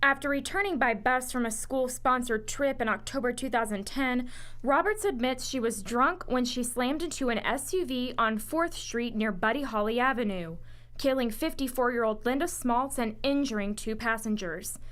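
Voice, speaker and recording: A woman's voice: fairly high pitch, normal speed, a bit bright. A woman news reporter and anchor reading scripted television news narration.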